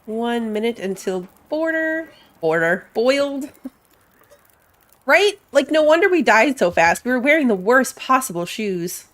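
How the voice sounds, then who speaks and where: A woman's voice: on the high side, big pitch swings, measured pace. A woman giving casual live commentary as she plays a game, chatting and thinking aloud. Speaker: game streamer.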